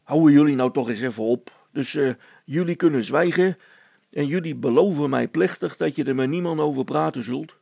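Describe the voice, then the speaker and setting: Storybook role: male narrator as gossipy, pompous village policeman, creaky voice, hesitant and bossy, dry sound. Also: on the high side, moderate pace, darkish tone, no echo. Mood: exasperated. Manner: hesitant, confiding, bossy, stammering over words. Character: gossipy, self-important village policeman, adult man.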